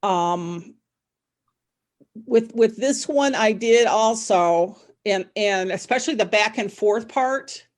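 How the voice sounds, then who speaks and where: A middle-aged woman chatting casually as an interview guest, telling things in an animated way and often circling back over her words. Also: medium pitch, slow. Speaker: podcast guest, novelist and former psychologist.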